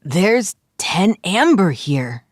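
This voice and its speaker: Woman voicing a slow, theatrical fantasy spellcaster heroine. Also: on the low side, big pitch swings, no echo, studio quality, heavily acted. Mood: hushed surprise. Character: Thatch, young female druid-like adventurer with a whip.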